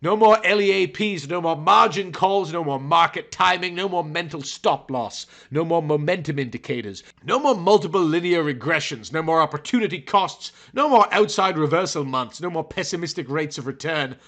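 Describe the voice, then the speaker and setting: A man narrates a piece of fiction in a theatrical, emphatic style. His voice sits a little high for a man and has a bright, forceful edge. He gives the scripted prose heavy dramatic weight. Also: expressive, moderate pace, hardly any pauses. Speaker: narrator reading a fiction chapter.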